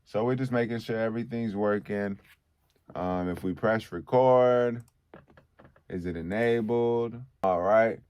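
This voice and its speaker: A man with a deep, bassy voice teaching recording in a relaxed, conversational way, often checking in with "okay?". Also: unhurried, smooth, dull audio. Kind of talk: casual tutorial.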